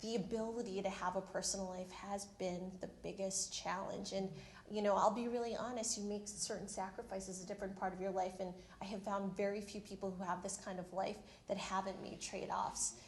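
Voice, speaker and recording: A woman's voice: mid-range voice, flat delivery, a little fast, reverberant, a little hiss or hum. A woman in her thirties from the Philippines, telling her career story on a panel in a candid, self-deprecating way. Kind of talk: panel discussion. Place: university event room.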